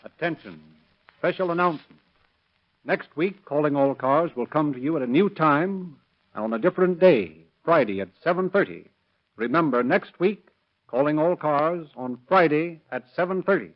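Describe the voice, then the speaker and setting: A man's voice: fairly high pitch, animated, moderate pace. A man announcing and narrating in formal, emphatic 1930s radio style on a muffled old recording. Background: music.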